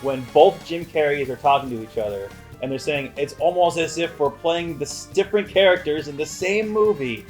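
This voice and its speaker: A man's voice: on the high side, normal speed, few pauses, a little mellow, faint music behind, rough recording. Male co-host for a casual review show, conversational, playful and emphatic. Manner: emphatic, playful, swears freely. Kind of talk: casual film review chat.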